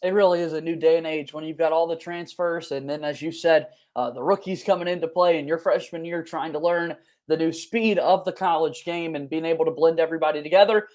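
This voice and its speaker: A man's voice: on the high side, fairly even intonation, a little fast, few pauses, darkish tone. A man hosting a sports podcast, asking questions and setting up topics in a conversational interview style.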